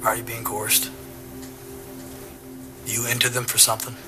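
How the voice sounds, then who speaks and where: A man acts out a confrontational police detective in an audio drama. He is blunt and pressing, a dogged investigator with a mid-pitched voice. Music plays clearly underneath. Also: completely flat delivery, a little slow, lightly acted. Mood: probing.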